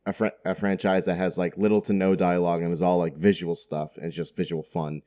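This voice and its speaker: A man's voice: low voice, little pitch movement, brisk, mellow. A man speaking as a guest in a casual podcast chat, with a wry, jokey way of talking.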